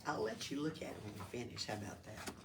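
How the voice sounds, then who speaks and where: A woman reading a children's storybook aloud, expressive and patient. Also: a bass voice, quick pace. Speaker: library story-time reader. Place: public library room. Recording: distant mic with faint room noise.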